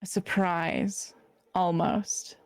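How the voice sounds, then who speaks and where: Slow, low-voiced woman game master. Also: little pitch movement, smooth, dry recording, clean studio sound. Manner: slow, deliberate narration with frequent fillers. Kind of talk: narrating a tabletop role-playing game.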